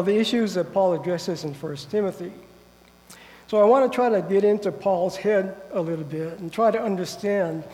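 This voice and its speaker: A man with a stuffy, nasal voice from a head cold preaches and reads scripture aloud. His voice sits high but sounds soft and mellow. He speaks carefully and earnestly, and the sound rings out with a long reverb, as in a large hall. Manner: careful, earnest delivery with frequent throat clearing. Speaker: guest preacher. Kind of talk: sermon with Bible reading.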